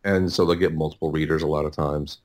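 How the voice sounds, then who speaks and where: A middle-aged man with a low-pitched voice answers as a guest in a casual interview. He talks haltingly, repeating words and restarting sentences as he rambles along. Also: little pitch movement, darkish tone. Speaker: interview guest, audiobook producer.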